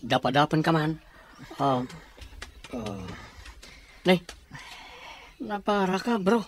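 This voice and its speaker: A woman's voice: sits low, very animated, takes it slowly, a little hiss or hum, heavily acted. A woman playing a caring, practical workmate with an Ilocano accent in a radio drama. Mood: concerned.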